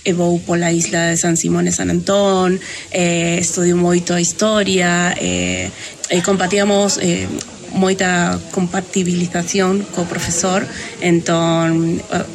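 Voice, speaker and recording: Brief: woman from Argentina, gravelly voice, halting with fillers, outdoor terrace with crowd chatter. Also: fairly low pitch. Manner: hesitant, many fillers. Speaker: language learner, Camino guide. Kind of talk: casual street interview.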